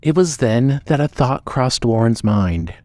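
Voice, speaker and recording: A man's voice: mid-range voice, expressive, unhurried, a little mellow, no room sound. Man narrating a dramatic horror story.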